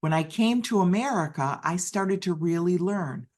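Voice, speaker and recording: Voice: mid-range voice, darkish tone. Interpreter voicing a signer live, choppy phrases, keeps restarting and fixing words. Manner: halting phrasing with frequent restarts and self-corrections. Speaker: sign language interpreter voicing a deaf speaker. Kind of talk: live first-person interpretation of a personal talk.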